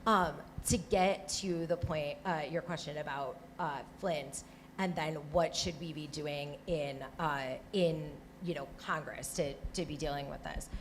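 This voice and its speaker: Woman, Michigan accent, low voice, conversational panelist, frequent "right?" tags, faint background noise. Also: fairly even intonation, unhurried. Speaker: panelist, former Senate staffer. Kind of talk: live panel discussion.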